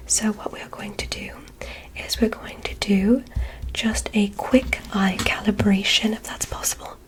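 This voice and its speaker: A woman voicing a curious, polite alien examiner in a whispered ASMR roleplay, speaking in a soft, deliberate, clinical manner. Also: a little high, lightly acted, poor audio.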